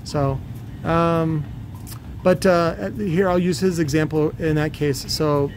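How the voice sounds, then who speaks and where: A man's voice: on the high side, steady tone, slow pace, a little hiss or hum. A man giving a casual, off-the-cuff technical explanation in a blunt, opinionated, salty-tongued way. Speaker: presenter, loudspeaker builder. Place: cluttered indoor workshop.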